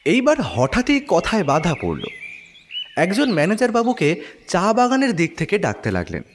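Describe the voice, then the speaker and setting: A man's voice: high-pitched, huge pitch swings, moderate pace, long reverb tail, slight background noise. A man narrating a detective story in the first person, as scripted audio drama narration.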